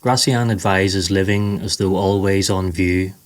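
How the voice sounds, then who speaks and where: A man narrating a scripted guided meditation in a slow, soothing, measured way. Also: deep voice, fairly even intonation.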